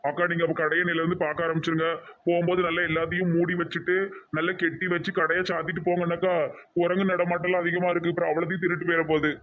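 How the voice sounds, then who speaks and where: Man as a quick, earnest Tamil cartoon lawyer. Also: fairly high pitch, flat delivery, phone-quality sound, lightly acted. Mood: practical. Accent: southern Tamil Nadu. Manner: earnest, advising. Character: earnest, kindly young male lawyer helping neighbours. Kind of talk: cartoon dialogue in colloquial dialect.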